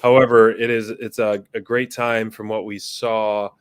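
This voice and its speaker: A man's voice: fairly low pitch, little pitch movement, measured pace, smooth, dry recording, studio quality. A man co-hosting a casual recap podcast, talking in a laid-back, agreeable way with many fillers. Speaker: podcast co-host.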